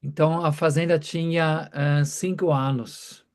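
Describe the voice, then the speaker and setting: A man in his fifties, a priest, speaking in short halting phrases that pause for an interpreter. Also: on the high side, fairly even intonation. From Germany. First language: German. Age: 50s. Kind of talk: talk given through an interpreter.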